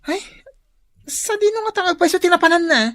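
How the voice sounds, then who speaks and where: Irritable daughter, high and animated, complaining, Ilocano accent, comedy radio drama. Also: female voice, fairly quick. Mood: suspicious, grumbling. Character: nosy, irritable daughter complaining to her mother.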